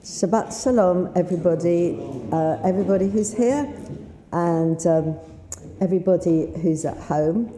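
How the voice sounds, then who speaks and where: A woman with a slightly husky voice, leading a synagogue service in a chatty, unhurried way with fillers. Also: fairly low pitch, warm tone. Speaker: service leader. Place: synagogue sanctuary. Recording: roomy sanctuary sound with faint noise.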